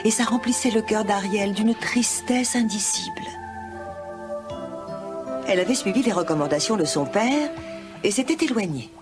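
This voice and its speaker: A woman narrating a children's animated fairy tale as a scripted storyteller. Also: medium pitch, normal speed, long pauses, music in the background.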